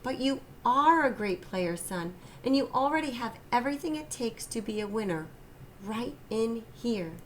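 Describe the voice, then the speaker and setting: A caring, earnest storybook dad, voiced by a woman reader, gently teaching and encouraging a young child. The recording carries some noise. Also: fairly high pitch, lively intonation, normal speed, pitched above the performer's own voice, natural voice.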